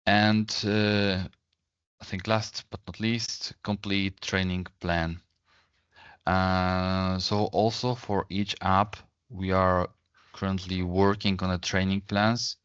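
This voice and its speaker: A man explaining things in a webinar as a company presenter, in a halting way, often repeating and rephrasing his words. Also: sits low, level tone, fairly bright tone, smooth, no room sound, highs cut off.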